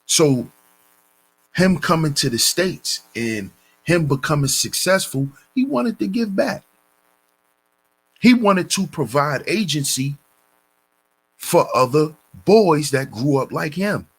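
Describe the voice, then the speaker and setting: A man hosting a commentary channel, reading a news story and lecturing on it in a deliberate, preachy way, calling his listeners brothers. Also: a little high, lively intonation, husky, low-quality recording.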